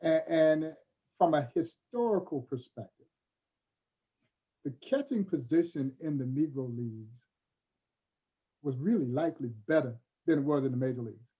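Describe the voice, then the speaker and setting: A man's voice: medium pitch, mellow. A man speaking as a historian on a panel, telling stories emphatically and oratorically, addressing young listeners directly. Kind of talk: animated storytelling on a panel. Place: virtual panel over video call. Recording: dull, lo-fi video call.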